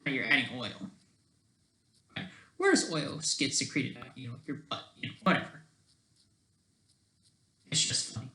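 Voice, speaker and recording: A man talking off the cuff in a casual, rambling monologue, halting and jumping between thoughts, often checking in with questioning tags. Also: medium pitch, sing-song, sharp tone, slight background noise. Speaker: solo online video creator. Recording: echoey, roomy sound.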